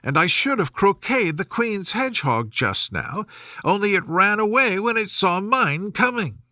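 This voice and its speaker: A polite, chatty young storybook girl, played by a man, talks in a high, lilting voice. She sounds earnest and proper, with lively swings in pitch. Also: unhurried, no echo, clean studio sound, full character voice. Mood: flustered, complaining. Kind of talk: storybook character voice.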